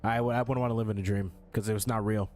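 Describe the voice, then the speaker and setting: A man's voice: on the low side, steady tone, very fast, darkish tone. Blunt young man commentating over game music. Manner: blunt, slangy, profane, repeats phrases. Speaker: gaming streamer. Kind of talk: casual playthrough commentary. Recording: game music faintly behind voice.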